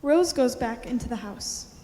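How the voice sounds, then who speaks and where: A woman's voice: fairly high pitch, lively intonation, normal speed. A woman reading scripted stage directions as narration in a theater. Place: high school theater. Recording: echoey hall, loud piano music behind.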